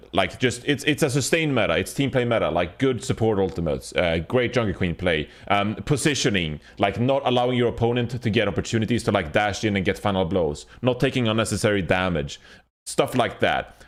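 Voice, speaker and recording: A man hosting a casual esports panel discussion, talking in a blunt, rambling, profane way. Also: fairly low pitch. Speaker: podcast host.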